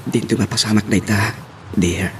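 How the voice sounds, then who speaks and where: A man's voice: lowish voice, steady tone, normal speed, close to an everyday voice. A man playing an ardent, sweet-talking young lover who woos and pleads in a radio romance drama. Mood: pleading, reassuring. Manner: wooing, pleading. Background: music.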